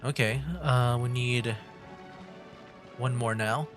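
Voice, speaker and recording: Man, mid-pitched, casual gameplay commentary, easygoing, thinking aloud, livestream, game music in background. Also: steady tone, slow pace. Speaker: game streamer.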